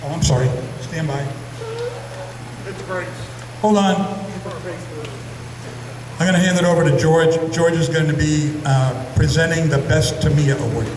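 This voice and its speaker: A man's voice: a little high, measured pace, pauses often, background noise. A man emceeing an awards ceremony in a museum hall, breezy and joking between presenters. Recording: echoey hall from far off with crowd.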